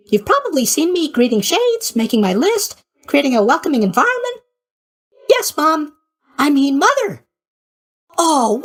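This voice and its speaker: Casting: a boyish, naive god of sleep in a video game, extremely high-pitched and wildly swooping, bubbly and scatterbrained. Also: male voice, a bit bright, exaggerated voice. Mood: eager, flustered. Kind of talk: animated video game voice acting.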